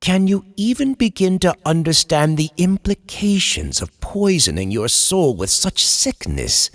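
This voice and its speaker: Man playing an anguished horror writer, slow and echoing. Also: fairly high pitch, full character voice. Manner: theatrical, eloquent, dramatic confessional monologue. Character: anguished horror writer, a man confessing his descent into madness. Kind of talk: old time radio horror storytelling. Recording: long reverberant hall echo.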